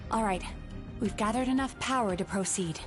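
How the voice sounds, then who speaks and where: A woman's voice: mid-range voice, normal speed, music in the background, natural voice. Woman voicing an earnest game heroine. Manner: earnest, calm and steady. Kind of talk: game voice acting.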